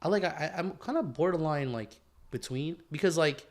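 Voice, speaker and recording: A man's voice: a little high, moderate pace. Casual American man chatting with a friend. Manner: slangy, frequent "bro" and "dude". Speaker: podcast co-host. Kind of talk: casual chat between friends.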